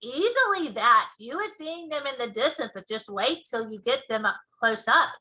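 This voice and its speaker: A woman voicing a big friendly male giant from a children's storybook, folksy and excitable, with muddled grammar and made-up words. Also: fairly high pitch, normal speed, rough recording. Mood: boastful, excited. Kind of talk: children's storybook read-aloud.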